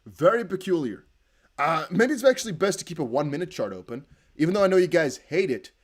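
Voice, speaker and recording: Excitable Dutch-accented man streaming. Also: a little high, fast talker. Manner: excitable, reads chat aloud, bursts into whoops. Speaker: crypto livestream host. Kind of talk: casual livestream chat.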